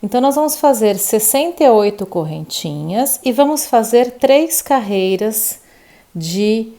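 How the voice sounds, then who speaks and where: A woman's voice: a little high, animated, unhurried, warm tone. A woman teaching crochet step by step, patiently counting stitches aloud and checking in with her viewers. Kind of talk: step-by-step craft tutorial.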